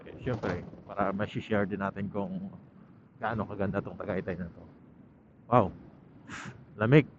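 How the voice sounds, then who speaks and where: A man's voice: fairly low pitch, fairly even intonation, a little slow, pauses often. A man with a Filipino accent chats casually and playfully to viewers as a motorcycle vlogger, speaking inside a helmet outdoors. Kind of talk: casual riding vlog chat to viewers. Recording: muffled helmet mic with ride noise.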